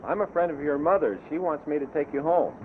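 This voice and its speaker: A smooth-talking stranger played by a man poses as a trusted friend in an educational film. His voice is slightly high, on a muffled old soundtrack with faint noise. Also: animated, fairly quick, close to an everyday voice. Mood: falsely friendly.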